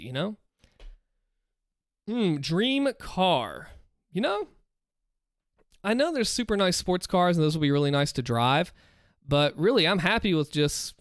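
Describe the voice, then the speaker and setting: A man's voice: sits high, no echo, studio quality. A man with a Southern US accent, chatting casually on a livestream, thinking aloud and encouraging viewers. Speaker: streamer. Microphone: close desk mic with headphones.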